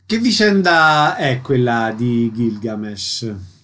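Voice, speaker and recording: A man's voice: medium pitch, big pitch swings, slow pace, a bit bright. A man hosting a radio programme, presenting details and putting concise questions to guests. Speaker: radio interviewer and host.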